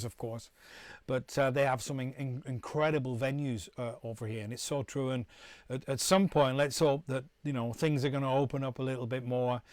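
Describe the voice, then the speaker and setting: A man's voice: medium pitch, no room sound. A middle-aged man from the UK, chatting casually to viewers on a live stream, rambling and restarting often. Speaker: musician hosting a live stream.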